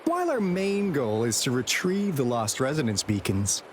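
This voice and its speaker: A man's voice: mid-range voice, wildly swooping pitch, normal speed, slight background noise. A man voicing a playful, theatrical young investigator in a video game, teasing and telling stories dramatically. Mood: explanatory. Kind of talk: video game character dialogue.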